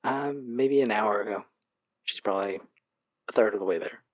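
Player as a halting, confiding assistant, muffled. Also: mid-range voice, dry recording, natural voice. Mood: hesitant. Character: hesitant, confiding assistant to a film star. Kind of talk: improvised in-character tabletop roleplay. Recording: slightly dull, dry recording.

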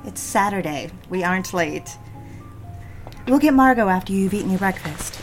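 A woman's voice: mid-range voice, lively intonation, moderate pace, faint music behind, close to an everyday voice. A woman playing a devoted, dreamy young woman in love in an intimate first-person audio drama. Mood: tender, eager.

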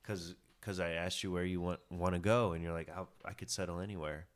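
Laid-back low-voiced male podcast host. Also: fairly even intonation, brisk. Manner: laid-back, musing, trails off into low mutters. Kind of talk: casual podcast conversation. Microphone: studio podcast mic.

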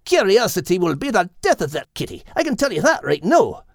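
Gruff, kindly Scottish dog for a children's audiobook, very lively pitch. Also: male voice, fairly quick, no echo, studio quality, exaggerated voice. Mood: wry. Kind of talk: animated audiobook character voice.

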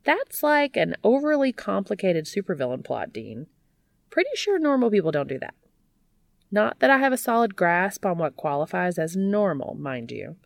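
A woman's voice: mid-range voice, sing-song, normal speed, creaky, dry recording, clean studio sound, voice pushed up. A woman voicing a chirpy, teasing young woman, a geeky best friend, with bouncy emphasis in an audiobook story.

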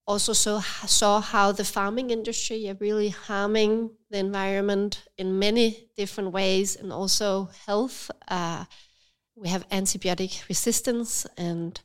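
A woman with a Danish accent and a slight cold, talking thoughtfully in an interview and often pausing with fillers. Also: medium pitch, steady tone, smooth. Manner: thoughtful, hesitant with frequent fillers. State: has a cold, slightly stuffy. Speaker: cafe and yoga studio owner, guest. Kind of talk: casual interview conversation.

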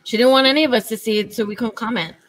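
A woman's voice: fairly high pitch, very fast, a loud crowd. A woman co-hosting a podcast in casual, slangy chat. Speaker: podcast co-host.

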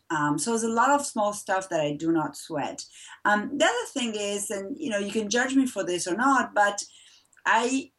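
Argentina-born mom chatting away, lots of ums, laughs, kinda distant. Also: female voice, medium pitch, a bit bright. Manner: chatty, laughs often, many fillers. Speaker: guest. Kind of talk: casual interview chat.

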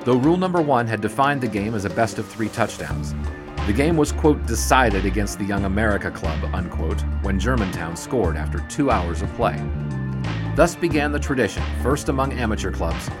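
A man's voice: on the low side, animated, normal speed, over music, natural voice. A man playing a formal narrator who reads a scripted history in an audio drama. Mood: measured.

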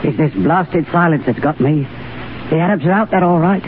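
An actor in 1930s radio drama playing a worried besieged soldier with a tense, urgent manner. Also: male voice, a little high, normal speed, close to an everyday voice. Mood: tense, uneasy. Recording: tinny, lightly noisy 1930s radio broadcast.

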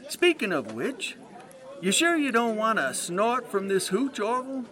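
Man playing a bright, high-voiced jovial 1920s sportswriter. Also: sing-song, unhurried, a faint crowd, full character voice. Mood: breezy needling. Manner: breezy, teasing. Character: jovial, needling hard-drinking male 1920s sportswriter. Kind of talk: period audio drama acting.

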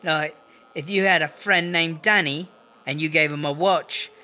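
A woman's voice: low voice, unhurried, pushed and bright. A woman playing a cocky, defensive, foul-mouthed British inmate in a comedy audio drama, with a slangy, blustering, rambling delivery. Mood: indignant.

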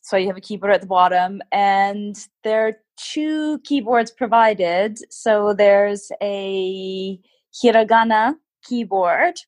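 A Canadian woman, a guest in an interview, explaining things patiently in casual chat, modest and quick to laugh at herself. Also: mid-range voice, fairly even intonation, a little mellow, no echo. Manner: self-deprecating, laughs often, explains patiently.

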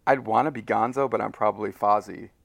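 A man's voice: lowish voice, quick pace, creaky, no echo. A man being interviewed as an audio drama creator, talking casually in a wry, self-deprecating way. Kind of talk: casual conversation.

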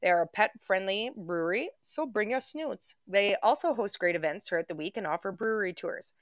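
A woman's voice: mid-range voice, lively intonation, fairly quick, no echo, studio quality. A playful woman co-hosting a podcast, laughing often. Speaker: podcast co-host. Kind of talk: casual chat.

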